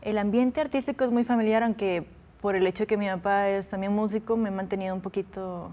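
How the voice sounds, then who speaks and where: A woman in her twenties from Peru, an actress and singer, talking in a friendly way in a studio interview, trailing off softly. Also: mid-range voice, steady tone, quick pace.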